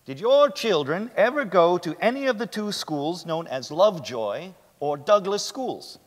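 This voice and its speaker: A big reverberant stage holds a man acting as an insistent defence lawyer with a high male voice. He cross-examines formally and sceptically, pressing for answers. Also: big pitch swings, measured pace, exaggerated voice. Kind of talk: dramatic stage reading. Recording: echoey theatre stage, long reverb.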